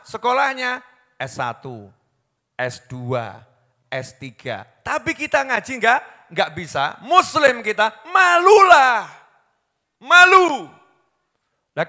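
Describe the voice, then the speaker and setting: Guy preaching, high bright voice, goes quiet then loud, big echoey hall. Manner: swings from hushed storytelling to loud impassioned pleading, mixing in local words. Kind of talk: sermon. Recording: long hall reverb.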